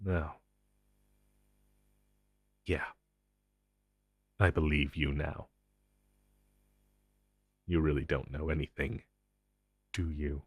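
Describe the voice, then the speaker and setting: A man playing an ancient, powerful supernatural being in an audio roleplay, speaking gravely and commandingly with dramatic pauses. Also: fairly even intonation, no room sound, studio quality, exaggerated voice. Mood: cold, probing. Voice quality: low, husky. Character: ancient, powerful, possessive male supernatural being, grim secret-agency superior. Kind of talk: intense dramatic audio roleplay monologue addressed to listener.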